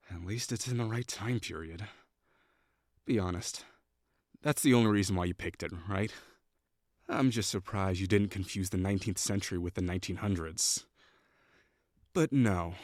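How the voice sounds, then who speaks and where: A man's voice: sits low, dry recording, studio quality. A young man voicing a prickly, condescending perfectionist classmate in an audio roleplay, talking in a bossy, sarcastic way. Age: young adult. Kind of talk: scripted roleplay acting.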